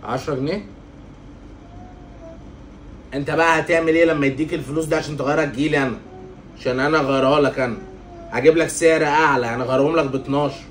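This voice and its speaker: A man's voice: on the high side, fairly even intonation, a little hiss or hum, natural voice. A man with an Egyptian accent playing a bossy, impatient man who scolds and lectures a clueless youngster. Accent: Egyptian Arabic. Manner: scolding, bossy, quick to shout. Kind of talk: comedy sketch.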